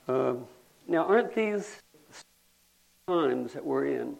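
An older man, telling folksy rambling stories to a gathering and clearing his throat now and then. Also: mid-range voice, animated, takes it slowly, darkish tone, poor audio. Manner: folksy, drawling, says y'all. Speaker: storyteller at a community gathering.

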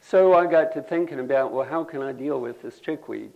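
An older man, telling folksy rambling stories to a gathering and clearing his throat now and then. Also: mid-range voice, fairly quick, dull audio. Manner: folksy, drawling, says y'all. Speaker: storyteller at a community gathering.

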